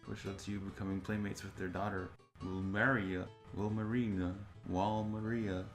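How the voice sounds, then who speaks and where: A man's voice: low-pitched, flat delivery, moderate pace. A man reading aloud and bantering casually, goofy and teasing, tripping over names. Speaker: co-host reading wiki entries aloud. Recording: music playing over the voice. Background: video game music.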